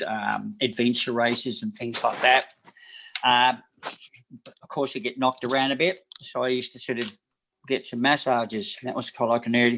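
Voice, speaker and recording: A man in his sixties from New Zealand, a massage therapist telling his life story in an interview, rambling and halting with many fillers and restarts. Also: medium pitch, fairly bright tone, a little hiss or hum, poor audio. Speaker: guest, massage therapist and personal trainer. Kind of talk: casual interview talk.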